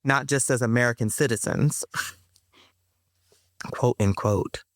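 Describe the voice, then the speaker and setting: A woman who is a poet and interview guest, talking in an emphatic, expressive way. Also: extremely low pitch, takes it slowly, no room sound, studio quality. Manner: emphatic, laughs often while talking. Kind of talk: conversational interview, reciting own poem.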